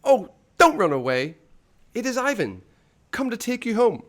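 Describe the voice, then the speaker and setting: Guy doing a scared little kid lost in a storybook forest, high breathless voice, really animated. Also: normal speed. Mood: desperate pleading. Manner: breathless, exclaiming cries and whispers. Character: frightened little boy lost in a winter forest.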